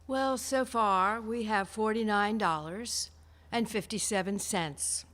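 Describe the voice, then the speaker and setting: A woman reading out a report in a measured, deliberate, deadpan way. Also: mid-range voice. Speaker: treasurer giving donation report. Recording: echoey hall, long reverb.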